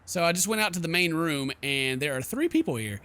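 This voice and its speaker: Animated, bright-voiced man doing gaming commentary. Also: fairly high pitch, a little fast. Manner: jokey asides, playful mock-dramatic reading. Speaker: gaming video host. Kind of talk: let's play commentary, reading game text aloud.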